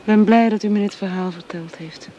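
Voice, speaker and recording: A woman's voice: mid-range voice, moderate pace, mellow, muffled recording, slight background noise, natural voice. A woman playing a grieving young woman. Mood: sad, subdued. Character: young woman missing her absent lover. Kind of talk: radio drama acting.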